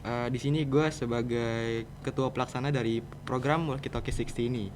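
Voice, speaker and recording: A man's voice: mid-range voice, fairly even intonation, a little fast. A teenage male student with a Jakarta Indonesian accent, answering interview questions in an informal, roundabout, conversational way. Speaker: student guest, podcast project leader. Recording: clear background noise, room ambience.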